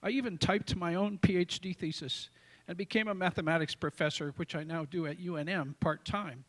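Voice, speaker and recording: An older American man in his sixties recites his own poems in a tenor voice that sits a little high. He phrases each line deliberately, leaning on key words and leaving dramatic pauses between them. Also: fairly even intonation, rough recording. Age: 60s. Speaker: amateur poet. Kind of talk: reciting own poetry to a live audience.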